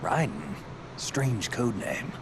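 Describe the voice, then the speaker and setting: Low-voiced, guarded soldier. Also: male voice, big pitch swings, slow, exaggerated voice. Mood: cool, guarded. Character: guarded, battle-worn male soldier, cool-headed and wry. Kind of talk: video game dialogue acting. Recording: noisy game audio capture.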